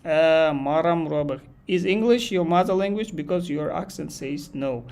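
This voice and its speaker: Kazakh-accented male tutor, a touch high-pitched, unscripted live class, loose and repetitive phrasing. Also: moderate pace, a little mellow. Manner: thinks aloud, repeats phrases, reads chat questions aloud. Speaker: English exam teacher. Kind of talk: live online lesson.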